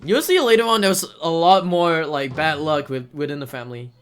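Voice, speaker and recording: A man's voice: a little high, moderate pace, clear, resonant tone. A man giving casual live commentary as a speedrunner, chatty and repeating himself as he goes. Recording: loud game music behind. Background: video game music.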